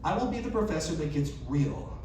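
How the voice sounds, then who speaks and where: Man, slightly high voice, live humorous story reading, performed delivery, very echoey distant recording. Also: expressive, brisk. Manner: performed delivery, acting out dialogue and self-questions. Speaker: reader at a live storytelling event. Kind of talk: reading a humorous first-person story aloud to an audience. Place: live event venue. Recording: echoey, noisy room recorded from far off.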